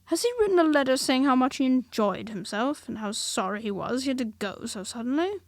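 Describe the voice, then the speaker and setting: Woman voicing a bossy storybook rabbit, raised and loud, fussy and important. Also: sits high, expressive, brisk, no echo, studio quality. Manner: bossy and emphatic.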